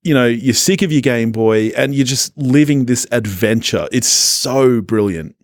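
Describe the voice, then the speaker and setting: A man's voice: medium pitch, measured pace, no room sound. A man co-hosting a podcast, chatting casually about films in an enthusiastic, jokey way. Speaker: podcast co-host. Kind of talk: casual film discussion.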